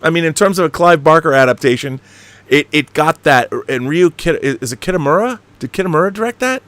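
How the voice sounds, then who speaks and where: A man's voice: on the high side, expressive, fairly quick, a bit bright, no room sound. A middle-aged American man hosting a casual livestream talk, opinionated and emphatic, swearing freely and wandering off on tangents. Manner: emphatic, profane, digressive. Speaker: host of a film and book talk livestream. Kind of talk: casual opinionated chat, answering viewer questions.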